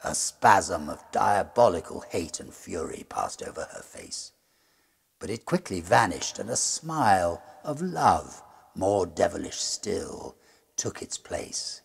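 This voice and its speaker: Husky, gravelly storyteller. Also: male voice, low-pitched, very animated. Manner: measured, suspenseful, theatrical. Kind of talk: dramatic gothic ghost story narration.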